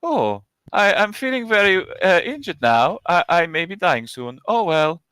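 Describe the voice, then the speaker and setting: A man's voice: high voice, very animated, pushed and bright, a little hiss or hum, heavily acted. A man voicing a cheerful, polite male kobold who stays unbothered while getting hurt. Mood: cheerfully polite. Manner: prim, formal, unhurried, sing-song.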